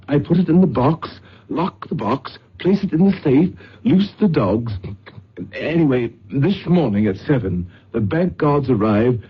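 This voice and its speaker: A pompous, anxious English lord. His husky voice blusters and stammers in old radio drama style, on a muffled, lo-fi recording. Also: mid-range voice, lively intonation, a little slow. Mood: agitated. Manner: blustering, stammering, clipped phrases.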